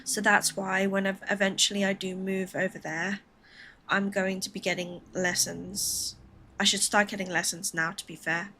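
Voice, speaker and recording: A woman's voice: medium pitch, flat delivery, normal speed, bright, forceful tone, slight background noise. A woman from the Philippines streaming a game from home, chatting with viewers in a rambling, chatty way with laughing asides. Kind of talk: casual gameplay chat with viewers.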